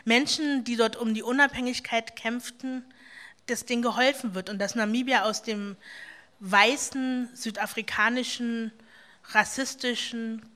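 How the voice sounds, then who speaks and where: Panel speaker, woman from East Germany; bright, slightly high voice, reflective, halting delivery. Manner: halting, reflective, many fillers and restarts. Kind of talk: personal account at a panel discussion.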